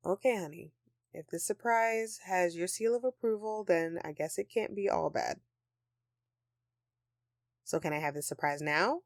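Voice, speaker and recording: Sulky, sardonic Christmas elf played by a woman, lowish voice. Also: dry recording, studio quality. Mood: softened, loving. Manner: sarcastic, sighing, dramatic. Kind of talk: intimate audio roleplay, addressing a partner.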